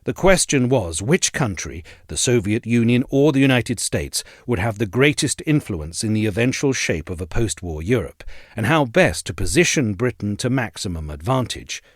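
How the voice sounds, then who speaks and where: Low-voiced male narrator with expressive intonation. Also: normal speed, dry recording, studio quality. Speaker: narrator reading an essay aloud. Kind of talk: scripted narration of a history essay.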